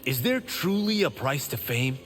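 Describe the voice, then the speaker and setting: A cocky, vain young monk. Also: male voice, mid-range voice, very animated, measured pace, fairly bright tone, soft background music. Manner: boastful. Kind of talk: video game dialogue.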